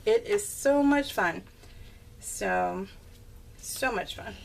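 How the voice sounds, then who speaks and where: Middle-aged woman, casual craft vlogger, chatty, giggly, rambling, medium pitch, slight background noise. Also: lively intonation, takes it slowly, creaky. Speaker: craft vlogger presenting her scrapbook layouts. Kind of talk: casual, unscripted chat to viewers while showing a scrapbook.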